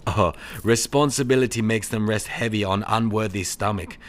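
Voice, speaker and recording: Man playing low-voiced, courteous Chinese detective. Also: moderate pace, close to an everyday voice. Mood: courteous, humble. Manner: clipped broken English, formal aphorisms, chuckling politeness. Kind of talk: audio re-creation of a 1930s mystery film.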